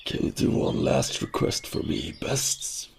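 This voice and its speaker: A man's voice: a little slow, slight background noise, close to an everyday voice. A man voicing a dying male bird in a fantasy audio drama, weak, fading and fond. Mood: weak, pleading.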